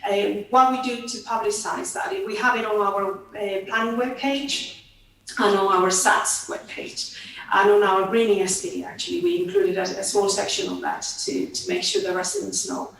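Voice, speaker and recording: A woman council planning officer answering a committee, halting, with many fillers and restarts. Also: medium pitch, fairly bright tone, very echoey, distant mic, poor audio. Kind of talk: committee testimony.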